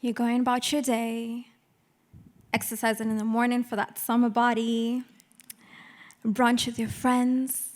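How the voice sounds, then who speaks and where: A young adult woman student giving a spoken word style piece, slow and deliberate. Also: a little high, little pitch movement. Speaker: student speaker.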